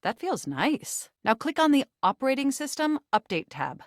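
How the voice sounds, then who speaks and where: A woman's voice: high-pitched, big pitch swings, normal speed, dry recording, studio quality. A woman voicing a nerdy, eager, flirtatious computer come to life in a video game, speaking in formal, wordy, technical phrases with an earnest, easily flustered manner. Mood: upbeat. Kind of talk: scripted video game voice acting.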